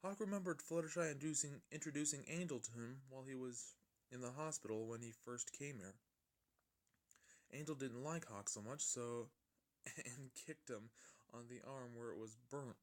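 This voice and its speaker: A man's voice: medium pitch, normal speed. A man narrating a fan fiction story in an amateur style, often stumbling and rereading his lines.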